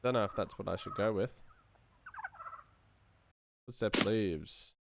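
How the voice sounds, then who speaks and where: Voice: sits low, slow pace, gravelly, heavy background noise. A sound designer talking casually through work on screen, musing aloud and muttering playfully. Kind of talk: casual screen-share workflow commentary, thinking aloud.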